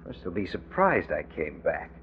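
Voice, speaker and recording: An actor playing a sly, vengeful hired killer in a 1940s radio drama. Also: male voice, low-pitched, sing-song, moderate pace, muffled recording. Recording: thin, noisy old radio recording.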